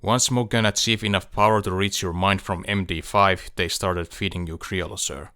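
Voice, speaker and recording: A man acting a loyal military officer in an audiobook, briefing a superior in a measured, matter-of-fact way. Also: low voice, steady tone, pushed and bright, clear, resonant tone, no room sound, studio quality. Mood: dutiful, factual. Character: loyal male military officer reporting to his commander. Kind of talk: fan audiobook character acting.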